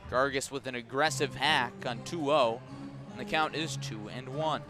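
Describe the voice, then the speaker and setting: A man calling baseball play-by-play live on the radio, measured in delivery and punchy on the action. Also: mid-range voice, over music. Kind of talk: live radio sports commentary. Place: outdoor ballpark.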